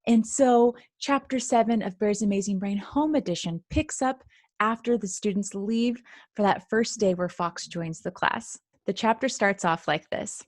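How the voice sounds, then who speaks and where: Female presenter for a children's read-aloud, mid-range pitch, simple and clear teaching delivery. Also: measured pace, no echo, studio quality. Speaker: presenter, author reading own book.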